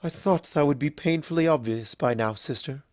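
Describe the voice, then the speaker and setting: A man voicing a wise, fond and teasing elder pony princess in a storybook reading, gentle and knowing. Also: on the high side, moderate pace, no echo, studio quality, lightly acted. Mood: gentle, assured.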